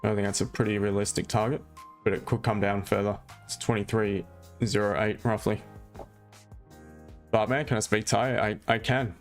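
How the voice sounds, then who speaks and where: A man's voice: on the low side, steady tone, normal speed, faint music behind. A man hosting a live trading stream, chatting casually and answering viewer questions as he explains his trades.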